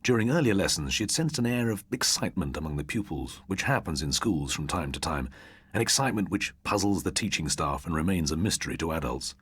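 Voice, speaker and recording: A low-voiced British man reads a ghost story as an audiobook narrator. His delivery is dramatic and swings widely in pitch, with a husky grain. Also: animated, brisk, no echo.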